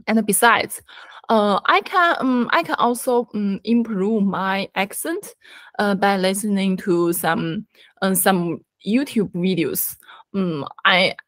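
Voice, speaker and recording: A woman with a Chinese accent speaking English in a casual conversation, halting with many ums and restarts. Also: medium pitch, fairly bright tone, no room sound, studio quality. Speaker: guest learner.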